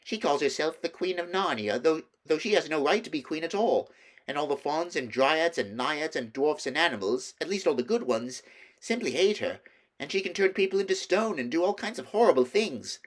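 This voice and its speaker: A man voicing an innocent, chattering young girl with excited enthusiasm in a storybook. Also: a little high, normal speed, pitched above the performer's own voice, natural voice. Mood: earnest, indignant.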